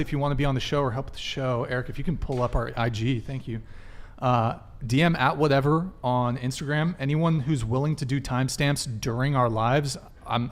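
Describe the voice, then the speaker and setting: A man hosting a live talk podcast, casually addressing his viewers. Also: medium pitch. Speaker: podcast host.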